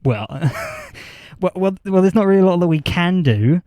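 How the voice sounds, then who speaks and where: Casting: male actor for eager academic, flustered podcast interviewee, stammering and excitable, very animated pitch, dry recording. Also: measured pace, dry recording. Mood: earnest, chuckling. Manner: stammering, excitable, repeats words. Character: eager, flustered male history professor of a far-future age. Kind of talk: fiction podcast interview acting.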